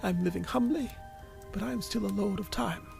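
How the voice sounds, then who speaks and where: A man's voice: high voice, brisk, gravelly, music in the background, pitched above the performer's own voice. A man voicing a spry, eccentric old time lord hermit in a storybook, speaking with direct, earnest dignity. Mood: dignified. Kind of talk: storybook character dialogue.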